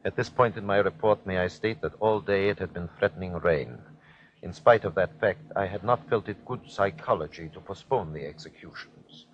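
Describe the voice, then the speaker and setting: An actor playing a ruthless, fanatical German army captain with a commanding, clipped delivery in an old-time radio drama. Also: male voice, deep voice, moderate pace. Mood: cold, reporting. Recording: dull vintage radio recording.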